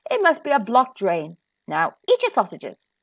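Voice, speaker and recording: Woman playing a brisk, high-voiced storybook giant's wife. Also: huge pitch swings, gravelly, dry recording, highs cut off, full character voice. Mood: dismissive. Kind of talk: children's storybook character voice.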